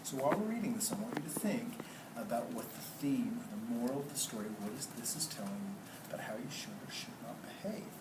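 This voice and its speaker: A man's voice: medium pitch, lively intonation, fast. A man teaching a class, reading a story aloud expressively and putting questions to the children. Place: indoor classroom. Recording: roomy, noisy classroom, distant mic.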